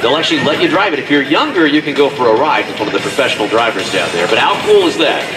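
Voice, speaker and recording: A man commentating live at an outdoor air show, calling the maneuvers with enthusiastic, exclamation-filled play-by-play from the announcer stand. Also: medium pitch. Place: outdoor airfield, voice over a public address system. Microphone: announcer mic over loudspeakers, recorded from far off by a spectator camera. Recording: far-off echoey PA sound with loud music.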